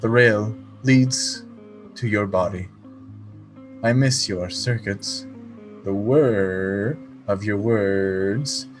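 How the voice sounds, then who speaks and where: A man playing a melancholy, polite android poet in a radio play, speaking in a stilted, formal, halting way. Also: fairly low pitch, faint music behind. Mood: mournful, reciting. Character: melancholy, polite male android poet, fast-food worker.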